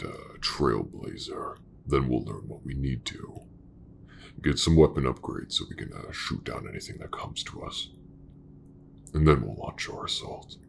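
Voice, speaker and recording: A man playing a cold, haughty, greedy smuggler captain in a sci-fi audio roleplay, measured and condescending. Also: very deep voice, gravelly, a little hiss or hum, full character voice.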